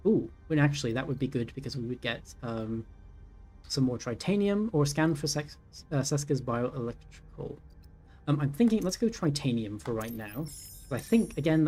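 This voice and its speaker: A man's voice: mid-range voice, lively intonation, normal speed, a little mellow. A man in his thirties giving casual let's-play commentary, thinking aloud with many ums and self-corrections. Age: 30s. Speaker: gaming YouTuber. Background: faint game music.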